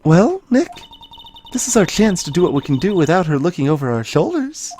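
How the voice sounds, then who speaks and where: A man's voice: a little high, big pitch swings, fairly quick, mellow, a little hiss or hum, voice pushed up. A man voicing a perky young female assistant in a video game, chatty, eager and teasing.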